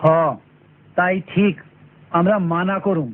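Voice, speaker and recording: A man's voice: sits high, fairly even intonation, slow pace, very noisy. A man voicing a worried, plain-spoken village father in a cartoon, with a Bangladeshi accent. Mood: resolved. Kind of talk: animated cartoon dialogue. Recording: muffled lo-fi cartoon audio, music behind.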